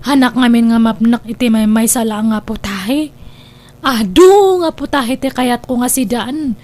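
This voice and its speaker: A high-pitched, cheery young wife in a comedy drama, acted by a woman, who is lazy and always after a free meal. She wheedles and giggles in an Ilocano Filipino accent. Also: a little slow, darkish tone, natural voice. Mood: cheerful, greedy.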